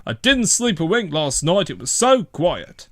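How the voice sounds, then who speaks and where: A man's voice: on the high side, sing-song, unhurried, a bit bright, no echo, pitched above the performer's own voice, heavily acted. A man voicing a cross, stern, officious policeman in a children's storybook. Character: cross, stern, red-faced male policeman. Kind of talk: children's storybook character reading.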